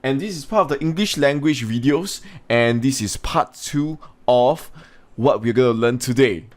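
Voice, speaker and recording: A man's voice: mid-range voice, moderate pace. A man teaching an English language lesson in an instructional way, talking students through points and putting questions to them. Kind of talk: scripted lesson narration with informal asides.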